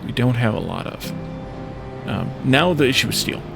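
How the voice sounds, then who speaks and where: A man giving casual gameplay commentary as a let's play host, thinking aloud in a hesitant, halting way. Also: mid-range voice, lively intonation, vocal fry. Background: music in the background.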